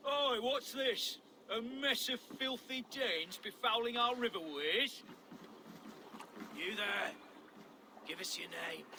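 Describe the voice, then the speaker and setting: An actor playing a hostile warrior guarding a camp, threatening and full of contempt, in a game scene with noisy ambience behind him. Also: male voice, very high voice. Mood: menacing, scornful. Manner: contemptuous, threatening, drawn-out taunts. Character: hostile sneering Saxon camp guard, a menacing male warrior. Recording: clear background noise and ambience.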